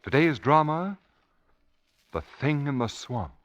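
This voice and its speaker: A man's voice: a little high, sing-song, slow pace. A man announcing a 1940s radio show from a script in an emphatic, formal style. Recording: dull, muffled 1940s radio recording.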